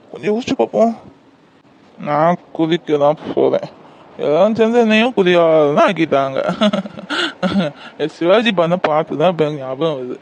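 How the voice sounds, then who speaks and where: A man's voice: high-pitched, darkish tone, slight background noise. A man playing a hungover, heartbroken young man who mutters to himself in a groggy, self-pitying way.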